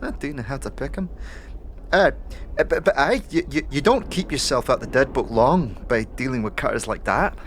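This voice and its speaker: A man voicing a wary, sharp-tongued young woman thief with halting, slangy street talk in a fantasy audio drama. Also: medium pitch, slight background noise, close to an everyday voice.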